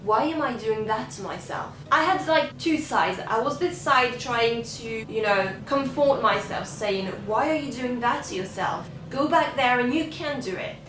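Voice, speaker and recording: A woman's voice: a little high, expressive, normal speed, hardly any pauses, a bit bright, a little hiss or hum. A young woman and singer, speaking English as a second language, earnestly telling a personal story to her viewers. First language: not English. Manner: earnest, open and confessional. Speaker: singer and vlogger. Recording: roomy sound, slightly distant from the mic.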